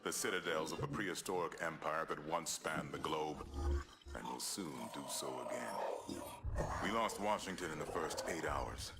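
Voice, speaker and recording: An actor playing a battle-weary American military commander, giving solemn, measured, clipped narration for a video game cinematic. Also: male voice, very deep voice, creaky. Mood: wary, analytical, then grave, resolute. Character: grave, battle-weary American general briefing on alien war. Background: loud dramatic music.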